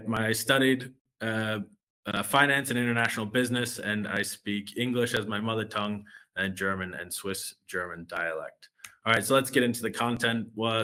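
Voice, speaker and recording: Bright-voiced American man presenting a webinar. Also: lowish voice. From United States. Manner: loose, hesitant delivery with many fillers and restarts. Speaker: relationship manager presenting a webinar.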